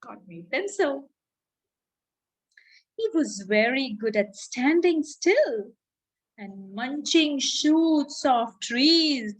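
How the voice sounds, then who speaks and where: A woman with an Indian accent who speaks deliberately, as a children's storyteller addressing young listeners expressively. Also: high voice, lively intonation, low-quality recording. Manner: slow, deliberate, child-directed delivery with drawn-out words. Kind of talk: expressive read-aloud for children.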